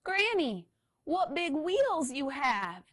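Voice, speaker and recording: A woman voicing a small, eager boy scooter in a high, squeaky voice. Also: big pitch swings, takes it slowly, fairly bright tone, no room sound, studio quality, exaggerated voice. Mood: wary.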